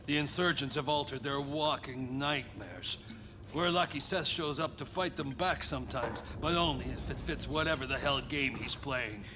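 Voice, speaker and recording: An actor playing a world-weary, sardonic older soldier in a video game, talking in a wry, coarse, informal way. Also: male voice, medium pitch, normal speed, noisy background, lightly acted. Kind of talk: cinematic video game acting.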